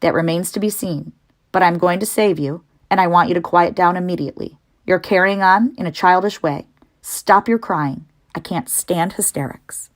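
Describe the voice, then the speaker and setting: A woman voicing a calm female spider in a storybook, speaking in a composed, brisk and firm manner. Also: lowish voice, natural voice.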